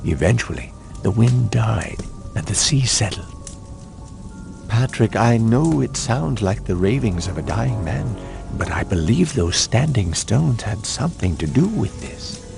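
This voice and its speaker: Gravelly, haunted dying lord. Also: male voice, on the low side, sing-song, unhurried, over music, full character voice. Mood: grave, fearful. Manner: grave, ominous storytelling. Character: ailing, haunted lord of a cursed manor. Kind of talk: dramatic game cutscene acting.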